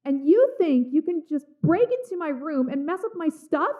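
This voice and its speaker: Woman voicing a quirky teen girl, very animated high voice. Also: moderate pace, narrow, tinny audio. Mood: upset, indignant. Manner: dramatic, emphatic, teasing. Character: quirky excitable teenage girl, the Tumblr website personified. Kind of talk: amateur webcomic dub.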